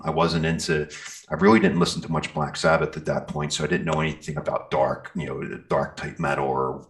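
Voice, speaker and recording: Middle-aged male interview guest with an extremely low voice, loose and rambling, telling anecdotes casually. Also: fairly bright tone. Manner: informal, rambling. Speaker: interview guest, metal singer and guitarist. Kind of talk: casual conversational storytelling.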